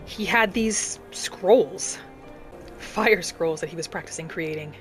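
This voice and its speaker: A woman's voice: on the low side, faint music behind. Woman playing an earnest young vampire-hunting battle mage. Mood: reflective. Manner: dramatic, deliberate, thinking aloud in character. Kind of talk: first-person fantasy roleplay narration over gameplay.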